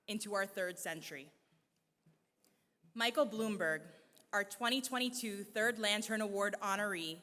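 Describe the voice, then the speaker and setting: A woman giving a formal, measured introduction at an awards ceremony in a large church hall. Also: mid-range voice, pushed and bright. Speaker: event presenter. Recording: long reverb of a church hall.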